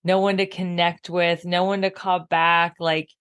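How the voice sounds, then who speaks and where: A woman's voice: fairly low pitch, little pitch movement, unhurried, a bit bright, clean tone. Woman with a froggy morning voice. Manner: emphatic, uses lots of "like". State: husky morning voice. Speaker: podcast guest, abundance mentor. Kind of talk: casual interview talk.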